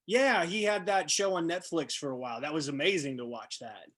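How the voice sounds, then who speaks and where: A man's voice: fairly high pitch, fairly quick, a bit bright. A man interviewing a guest, speaking casually and searching for words as he goes.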